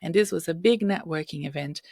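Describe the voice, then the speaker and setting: A middle-aged woman hosting a career-advice podcast, hoarse and husky from illness, speaking directly and coaching listeners with frequent "okay?" checks. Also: on the low side, brisk, darkish tone, dry recording, studio quality. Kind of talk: solo podcast advice talk. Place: home room.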